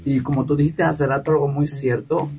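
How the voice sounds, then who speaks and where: A man's voice: on the high side, level tone, a little fast, music in the background. A middle-aged man with a southern Mexican accent from the Guerrero coast, telling his story casually as an interview guest and acting out remembered quotes expressively. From Costa Chica, Guerrero, Mexico.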